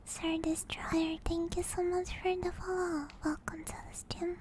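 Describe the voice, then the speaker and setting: A woman hosting an ASMR livestream, speaking softly and soothingly in a gentle, deliberate manner close to a binaural microphone. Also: very high voice, little pitch movement, noisy background. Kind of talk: ASMR, soft whispered personal attention. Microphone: binaural ASMR microphone at close range.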